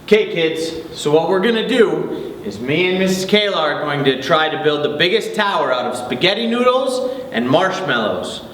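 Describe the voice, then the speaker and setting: A man's voice: a little high, very animated, normal speed, fairly bright tone. A man hosting a children's program, casually explaining things to kids. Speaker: children's ministry host. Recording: echoey room, distant mic, faint noise.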